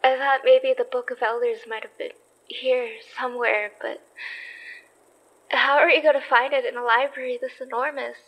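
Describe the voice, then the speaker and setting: A woman's voice: high-pitched, little pitch movement, moderate pace, a bit bright, poor audio. A woman playing an anxious but plucky young investigator in a horror audio drama, speaking in a hesitant, nervy way. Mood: shaken.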